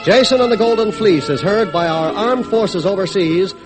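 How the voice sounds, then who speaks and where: High-pitched vintage radio announcer, man. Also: normal speed. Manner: formal, projected delivery. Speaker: announcer reading closing credits. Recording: vintage radio broadcast with music.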